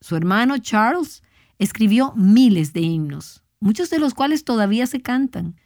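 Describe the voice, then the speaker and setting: A woman's voice: on the high side, lively intonation, moderate pace, a little mellow, no room sound, clean studio sound. A woman speaking as a Bible teacher, giving a devotional talk in an earnest, exhorting manner.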